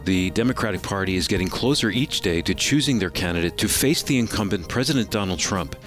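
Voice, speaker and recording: A man's voice: deep voice, animated, fairly quick, a bit bright, faint music behind. An American man working as a radio announcer, reading a scripted introduction and news in broadcast style. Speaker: announcer and co-host. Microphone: close studio microphone.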